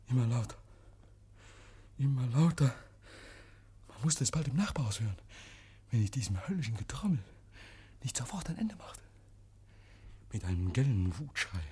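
An actor playing a deranged, obsessive murderer confessing in halting, breathy whispers, as in a 1950s German radio drama. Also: male voice, medium pitch, a little mellow, slight background noise, natural voice. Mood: feverish, mounting, then grim, drained. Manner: halting, feverishly intense, repeating phrases.

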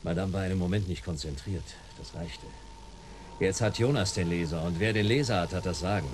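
A man's voice: sits low, a little fast, slight background noise, close to an everyday voice. A man playing a laconic, world-weary private detective in a radio play, with dry, sardonic delivery. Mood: cool, commanding. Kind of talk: radio play acting and first-person noir narration.

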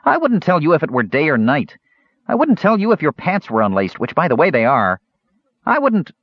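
A man voicing a cocky, excitable, boyish little Kender in an audiobook, brash and taunting. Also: fairly high pitch, moderate pace, no echo, highs cut off, voice pushed up, full character voice.

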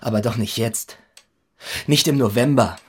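A man acts a young village policeman in a radio play, hesitant and unsettled. His medium-pitched voice comes out breathy and rough. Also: moderate pace, darkish tone, close to an everyday voice. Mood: shaken. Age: young adult. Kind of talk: radio drama acting.

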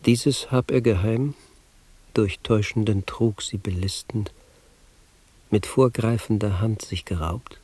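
An actor playing a solemn man who recites an ancient mythic tale in verse, in a grave, declamatory, husky manner for a radio drama. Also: on the low side, measured pace, dark tone. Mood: solemn, hushed.

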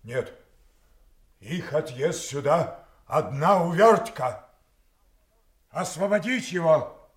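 A proud old king in an audio tragedy, wounded and quick to wrath. He declaims slowly and theatrically, with a volatile temper. The sound is roomy and echoing. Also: fairly high pitch, animated. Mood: impatient, commanding. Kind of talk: classical stage tragedy, audio play acting.

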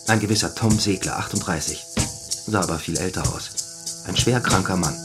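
A male actor as a junior police officer in a crime radio drama. He reports in short, factual, businesslike phrases in a fairly low voice, with loud music behind him. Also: normal speed, close to an everyday voice. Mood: urgent, factual. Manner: terse, factual.

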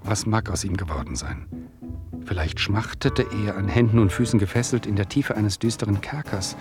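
An actor as a wry storyteller narrating a radio play in a theatrical, rhetorical manner. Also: male voice, lowish voice, moderate pace, music in the background, lightly acted. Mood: wry, musing. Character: wry, omniscient male storyteller addressing his listener.

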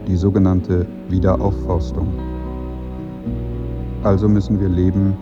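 A brooding literary narrator for a radio play. The voice is very low and unhurried, grave and declamatory. Plucked music plays clearly beneath the words. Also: male voice, level tone. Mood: somber, reflective. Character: somber male narrator brooding in poetic prose. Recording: muffled radio drama, music underneath.

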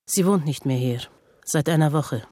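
Zurückhaltende Mutter, dunkle, weiche Stimme. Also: female voice, low voice, moderate pace, warm tone, dry recording, clean studio sound. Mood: guarded, subdued. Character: guarded, weary Algerian-French mother, middle-aged. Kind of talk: radio drama dialogue.

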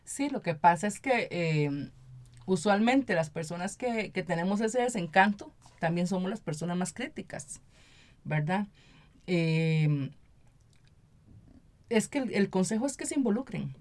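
A woman's voice: lowish voice, lively intonation, measured pace, a little mellow. A woman with a Costa Rican Spanish accent speaking as an activist guest in an interview, explaining things and often checking in with a short tag question. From Costa Rica. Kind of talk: conversational interview.

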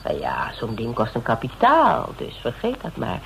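A shrewd woman interrogator with a low voice. She needles and mocks in a conversational radio-drama delivery. The recording has a faint layer of noise. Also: huge pitch swings, moderate pace, gravelly, full character voice, low-quality recording. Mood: narrating, needling. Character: shrewd, mocking female interrogator pressing a photographer.

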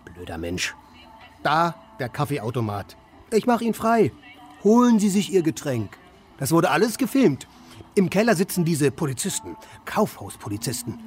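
A man acting in a radio play as an aimless, sardonic loiterer who speaks in a laconic, provocative, deadpan way. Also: on the high side, moderate pace, faint music behind. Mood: sardonic, needling. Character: aimless idle man, sardonic, stubborn department-store loiterer. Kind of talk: German radio play dialogue and first-person narration.

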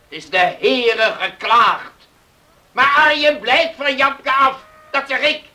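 An actor playing a stern, pious village patriarch in a radio drama, scolding and emphatic, repeating himself in indignation. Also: male voice, very high voice, bright, forceful tone, far from the mic, heavily acted.

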